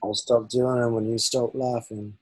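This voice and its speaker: Man acting a husky, laconic wounded slacker. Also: medium pitch, level tone, moderate pace, darkish tone, lightly acted, poor audio. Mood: wry. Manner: laconic, unbothered. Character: laid-back fading young slacker, wounded zombie-bite victim. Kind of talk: dramatic table read.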